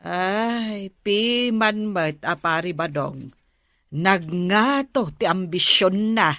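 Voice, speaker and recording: A woman acting an unhurried, plain-spoken village neighbour in an Ilocano comedy drama, with an Ilocano accent. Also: medium pitch, expressive, a bit bright, no echo, studio quality. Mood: questioning, wry.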